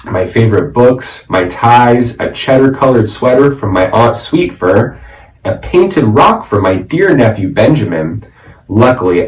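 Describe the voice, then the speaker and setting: Animated man reading a children's storybook. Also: lowish voice. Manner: expressive, dramatic. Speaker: reader, teacher. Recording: roomy echo, slightly distant mic.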